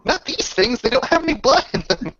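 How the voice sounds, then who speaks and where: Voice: sits high, big pitch swings, a little fast, noisy background. A game master narrating a tabletop roleplaying session casually, bantering and swearing freely. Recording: dull lo-fi recording.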